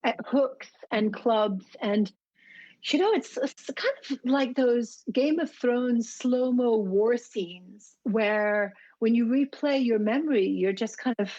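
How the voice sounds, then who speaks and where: A woman's voice: fairly high pitch, slow pace, warm tone. Earnest woman, slightly dull recording. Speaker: interview guest, shark conservationist. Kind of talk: reflective personal storytelling.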